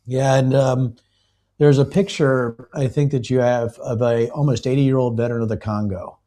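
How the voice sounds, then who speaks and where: Male guest, rambling anecdotes full of ums and restarts, mid-pitched. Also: fairly even intonation, warm tone. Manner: rambling storyteller, halting with fillers. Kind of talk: live remote interview.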